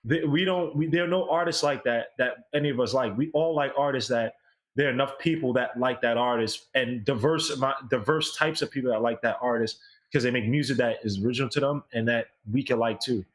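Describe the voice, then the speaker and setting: A man co-hosting a music review show, giving casual, opinionated critique in an animated, informal way and checking in with rhetorical questions. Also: mid-range voice, fairly quick.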